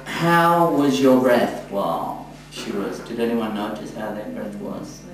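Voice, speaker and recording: A man leading a group class as a teacher trainer, coaching informally and often pausing to search for words. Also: mid-range voice, expressive, faint music behind. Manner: halting, searching for words, hesitant phrasing. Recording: echoey room, distant mic, background noise.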